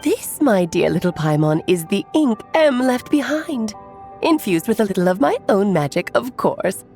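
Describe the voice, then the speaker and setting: A woman's voice: medium pitch, big pitch swings, moderate pace, a little mellow. A woman playing an elegant, playful adult witch who speaks in an airy, teasing, coy way in a video game cutscene. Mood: teasing, explanatory. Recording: faint music behind the voice.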